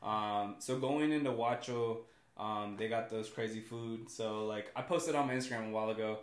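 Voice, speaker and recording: A man's voice: on the low side, normal speed, roomy sound. A man from California, a guest on a casual podcast, talking in a rambling way with lots of fillers and repeated words.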